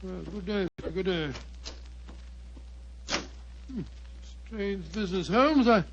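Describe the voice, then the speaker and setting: Genial British sidekick, high voice, stammering, repeats himself, dull 1940s radio mystery recording. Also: male voice, expressive, slow, noisy background, exaggerated voice. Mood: polite, bustling.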